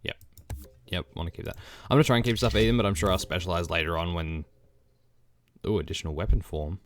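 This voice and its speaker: A very deep-voiced man talks casually through a video game, sounding hoarse from a cold. He chats loosely and thinks out loud as he goes. A faint hiss sits under his voice. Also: quick pace. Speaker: gameplay channel host. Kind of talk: casual let's-play commentary.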